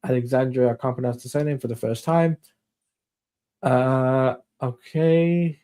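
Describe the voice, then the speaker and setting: A man's voice: medium pitch, steady tone, unhurried, warm tone. A man hosting a casual live stream, reading out news items in a rambling way while losing his voice. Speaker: stream host.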